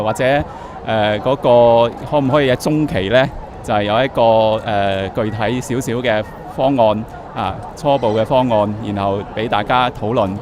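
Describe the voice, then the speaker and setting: Male union spokesman, mid-pitched, hesitant off-the-cuff interview answer, recorded outdoors with clear traffic noise. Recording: noisy outdoor street with traffic.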